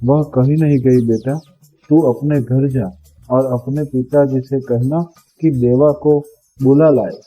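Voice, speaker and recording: A man's voice: medium pitch, fairly even intonation, slow, warm tone, breathy edge, faint music behind, low-quality recording. A man playing a worried, loving merchant father in a Hindi moral story cartoon, speaking earnestly.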